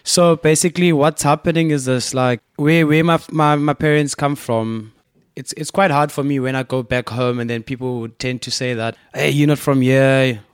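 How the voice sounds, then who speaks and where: A South African man answers casually as a guest artist. His voice is clear and level, recorded dry, and he often restarts his phrases. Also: mid-range voice, steady tone, no room sound. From Cape Town, South Africa. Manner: halting, repeats words and starts over. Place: gallery space.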